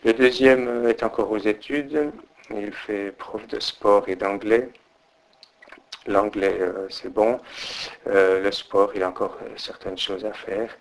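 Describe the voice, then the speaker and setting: A middle-aged man from Portugal, answering interview questions hesitantly and pausing often. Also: lowish voice, steady tone, rough recording. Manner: hesitant, many fillers and restarts. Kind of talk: oral history interview.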